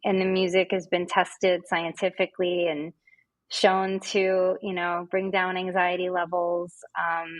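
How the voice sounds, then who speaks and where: A woman's voice: lowish voice, level tone, unhurried, no room sound. A woman speaking as a podcast guest, explaining things casually with gushing enthusiasm. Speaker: podcast guest, wellness app representative.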